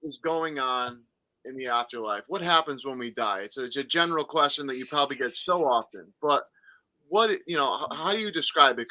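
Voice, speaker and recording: A man's voice: medium pitch, sharp tone, no echo, poor audio. A man co-hosting an online talk show, asking eager, rambling questions full of fillers. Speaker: co-host. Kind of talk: casual interview talk.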